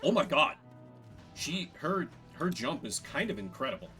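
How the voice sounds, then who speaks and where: A man giving casual, excitable commentary while playing a video game. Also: a little high, normal speed, faint music behind. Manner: animated, excitable.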